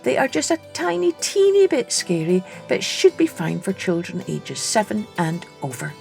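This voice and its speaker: A woman hosting a children's story podcast, reading scripted narration in a deliberate, expressive way. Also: mid-range voice, vocal fry, soft background music.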